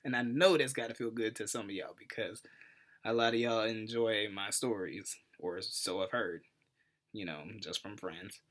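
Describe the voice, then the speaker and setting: A man in his twenties with an American accent, hosting a casual storytelling vlog in an animated, playful, slangy way. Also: lowish voice, normal speed. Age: 20s. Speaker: YouTube host.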